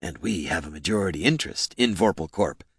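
A ruthless company boss from a fantasy audiobook, acted by a male narrator. He lectures in a deliberate, smug way. The sound is close and dry. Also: sits low, animated, slightly rough, no echo, heavily acted. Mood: cool, assertive.

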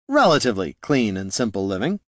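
A man's voice: medium pitch, sing-song, moderate pace, a bit bright, no echo, clean studio sound, pitched above the performer's own voice. A man playing a slick, wordy roguish bard. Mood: defensive. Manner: slick, self-justifying, theatrical. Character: sly, wordy male bard and reformed thief. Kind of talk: fantasy audiobook character voice.

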